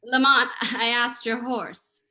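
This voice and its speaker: A woman's voice: a little high, flat delivery, slow pace, pushed and bright, slight background noise, exaggerated voice. A woman playing a detective's spirited lady companion, playful and mock-indignant, in old-time radio style. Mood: playful, chiding.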